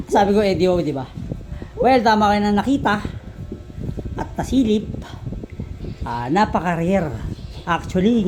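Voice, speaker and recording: Casting: Filipino vlog host, medium register, casual Taglish product chat, halting delivery with questions tossed to viewers. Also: medium pitch, noisy background. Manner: Taglish code-switching. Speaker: vlog host showing vintage audio gear.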